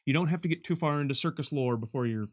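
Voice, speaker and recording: A man's voice: a little high, fast. A man giving casual, unscripted commentary as a game developer, often starting a sentence over as he explains things.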